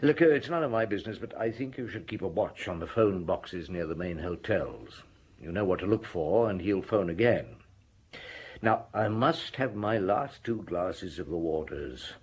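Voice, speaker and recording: Calm old-school detective chief in a radio play, low voice that moves around a lot, musing. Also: male voice, normal speed, breathy edge. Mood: probing, assured. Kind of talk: audio drama acting.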